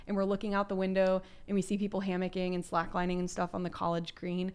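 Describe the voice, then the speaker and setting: A young woman co-hosting a casual podcast, chatty and emphatic with youthful slang, her voice a bit lowered as she recovers from flu. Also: completely flat delivery, fast, clean tone. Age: young adult. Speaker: podcast co-host.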